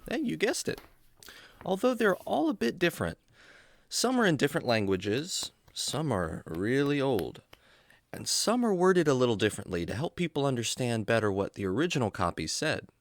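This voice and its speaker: A man playing a kindly, patient adventurer who explains things gently and earnestly to his animal buddy in a children's radio drama. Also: medium pitch, sing-song, moderate pace. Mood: explanatory.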